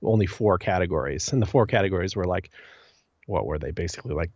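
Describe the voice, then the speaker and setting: A man's voice: deep voice, fast talker, a little mellow, husky, dry recording, highs cut off. A man chatting casually as a podcast guest, enthusiastic and rambling, with lots of "like" sprinkled through his sentences.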